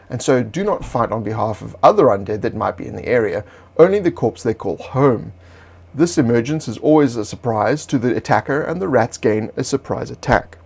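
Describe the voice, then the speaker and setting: A man reading a monster guide for a role-playing game as steady, informative narration. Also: mid-range voice. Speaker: game designer presenting a monster supplement. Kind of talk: read-aloud explanatory narration.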